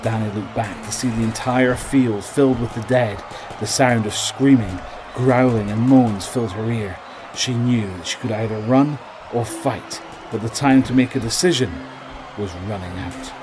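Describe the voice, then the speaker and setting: A man's voice: mid-range voice, normal speed, background noise. A man narrating a scripted audio drama in a dramatic storytelling style. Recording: dramatic music bed behind voice.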